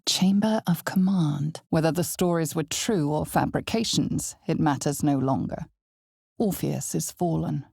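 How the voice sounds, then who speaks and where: A woman playing an ominous, knowing narrator in a dark fantasy video game, with a husky, gravelly voice and measured, hushed, theatrical delivery. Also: low-pitched, no room sound, clean studio sound, exaggerated voice. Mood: grave, commanding. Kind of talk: dramatic scripted second-person fantasy game narration.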